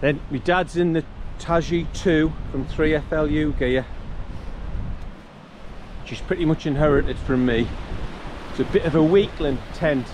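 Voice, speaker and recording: A man with a North East English accent talks casually for an outdoor vlog, his voice a little high for a man. He is understated and wry, recorded on a handheld camera with clear wind and stream noise behind him. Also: unhurried. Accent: North East England. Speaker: camping vlogger. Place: open grassy hillside valley by stream. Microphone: handheld camera at arm's length. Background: wind and running water.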